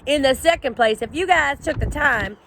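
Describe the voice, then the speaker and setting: A woman's voice: high-pitched, moderate pace, fairly bright tone, slight background noise, pitched above the performer's own voice. A woman voicing a boastful male ranch dog who talks in a pompous, rambling way. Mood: blustering. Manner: pompous, rambling, full of bluster.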